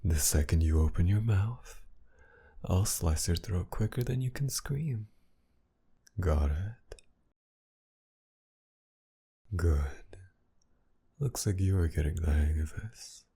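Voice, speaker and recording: A man playing a mocking, menacing masked villain kidnapper in an intimate ASMR roleplay, with a gravelly, breathy murmur that often sinks toward a whisper. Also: sits low, warm tone, full character voice. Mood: menacing, controlling. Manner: taunting, commanding, slow and teasing.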